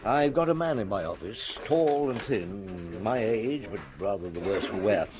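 Radio drama detective: middle-aged, weary and dry, low but widely varied pitch. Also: male voice, lively intonation, crowd noise. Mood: confiding. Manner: dry, terse, measured.

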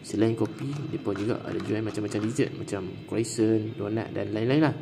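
A man in his thirties with a northern Malaysian Kedah accent, narrating a casual vlog in a gravelly voice. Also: lowish voice, steady tone, a little fast, dark tone. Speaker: vlogger. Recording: music playing over the voice.

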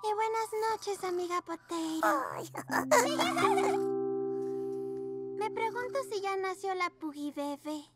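An actor playing an excitable, sweet little girl pug puppy in a preschool cartoon. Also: very high-pitched, slow pace, pauses often. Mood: sleepy, quiet. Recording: music behind.

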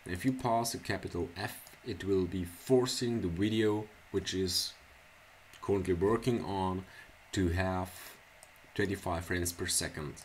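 A man from Switzerland whose first language is Swiss German, talking through a computer tutorial in a very low, deep voice. He speaks slowly and haltingly, stopping for fillers and restarting words. A soft hiss and keyboard clicks sit behind him from his desk mic. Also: steady tone. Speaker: software tutorial presenter. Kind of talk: casual screencast tutorial. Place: small indoor room at a computer.

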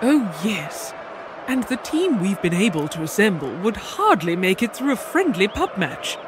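A woman playing a brisk, imperious monarch in a comic audio drama. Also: medium pitch, very animated, noisy background.

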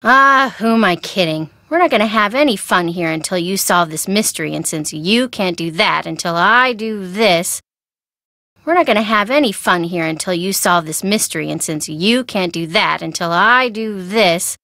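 An actress playing a perky, nervous young woman sidekick in a video game, with an American accent and a chatty, wry, expressive delivery. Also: medium pitch, moderate pace, no echo, studio quality. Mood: exasperated. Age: young adult. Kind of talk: scripted video game dialogue, phone-call chatter.

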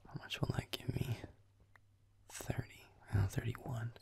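A young man playing a grumpy, sardonic werewolf boyfriend in an intimate audio roleplay, muttering deadpan in a breathy, gravelly murmur as he games. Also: mid-range voice, measured pace, whispered, heavily acted.

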